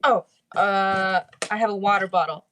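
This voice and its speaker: A woman's voice: mid-range voice, steady tone, moderate pace, a bit bright, a little hiss or hum. A woman chatting casually as a cast member at a live table read. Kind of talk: casual chat.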